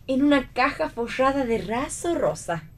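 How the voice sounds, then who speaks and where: A woman's voice: high voice, animated, moderate pace. An actress playing a breezy, frank woman friend in read radio theatre.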